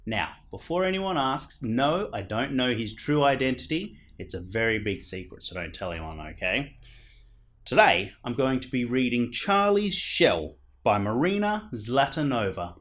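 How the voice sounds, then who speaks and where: A man reading a children's picture book aloud as a storyteller, in a theatrical manner with dramatic pauses. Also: medium pitch, very animated, a bit bright. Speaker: storyteller, show host.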